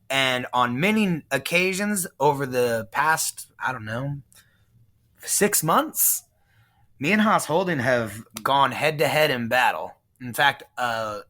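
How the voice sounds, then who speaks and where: A man's voice: medium pitch, bright, forceful tone. A man from Texas, a pro wrestler guest, talking in a boastful, menacing trash-talk promo style. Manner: boastful, menacing, heel persona.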